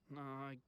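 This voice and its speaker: An actor voicing a weary, regretful fugitive in a video game, speaking in a downcast, hesitant way. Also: male voice, mid-range voice, a bit bright, clear, resonant tone. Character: weary, regretful young man on the run.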